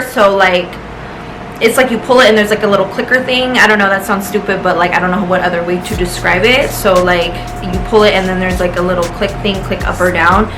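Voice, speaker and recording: Chatty young American woman rambling over music. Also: mid-range voice. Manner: informal, rambling, slangy and sweary. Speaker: vlogger telling a personal story. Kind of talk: casual, chatty storytime.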